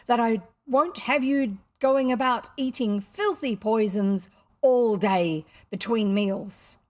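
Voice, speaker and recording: A storybook father played by a woman, exasperated and loud. He bellows short, impatient orders as he scolds his young son. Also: fairly low pitch, steady tone, moderate pace, vocal fry, slightly rough, pitched below the performer's own voice, heavily acted. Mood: bellowing scolding.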